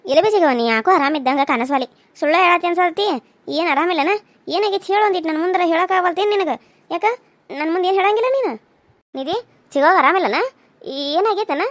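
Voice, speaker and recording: A woman voicing a kindly, fussing middle-aged visitor who coaxes and worries. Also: extremely high pitch. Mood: concerned. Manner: concerned, coaxing, brisk.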